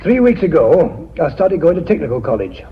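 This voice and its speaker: A man's voice: on the high side, sing-song, fairly quick. An actor in a radio drama playing a jovial, plain-spoken director in his sixties, chatty and mock-confiding. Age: 60s. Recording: dull radio recording.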